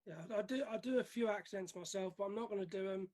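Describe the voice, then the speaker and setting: Male British interviewer, high-pitched voice, casual conversational delivery. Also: quick pace, a little mellow. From United Kingdom. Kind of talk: casual chat.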